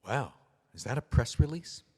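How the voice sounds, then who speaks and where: An actor playing a grieving widower who answers with bitter sarcasm and wounded anger. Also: male voice, medium pitch, big pitch swings, normal speed, a little mellow, husky, big-room sound. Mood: sarcastic. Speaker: author. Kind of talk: audio drama acting.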